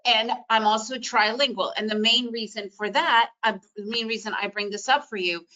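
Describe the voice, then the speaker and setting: Energetic bright-voiced woman trading coach. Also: a little high, moderate pace, dull audio. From Soviet Union. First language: Russian. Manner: energetic, emphatic, repetitive phrasing. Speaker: trading educator. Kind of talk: webinar presentation.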